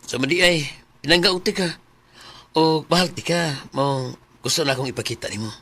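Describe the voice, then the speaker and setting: A volatile young male lover with a husky, forceful voice and Cebuano Filipino accent. He is intense and dramatic, in the manner of radio drama. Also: fairly high pitch, normal speed, heavily acted. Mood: sweet-talking. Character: young male lover, sweet-talker turned demanding drunken bully. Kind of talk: Bisaya radio drama acting.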